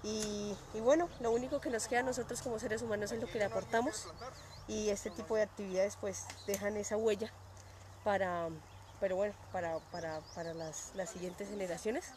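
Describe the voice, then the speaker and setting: A woman from Colombia talks in a chatty way outdoors, filling pauses with "este." Her voice sits at a middle pitch. A crowd murmurs around her. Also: fairly even intonation. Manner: warm, chatty, with "este" fillers. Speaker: artist and guest. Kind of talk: casual outdoor interview. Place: outdoor park. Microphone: phone camera held close.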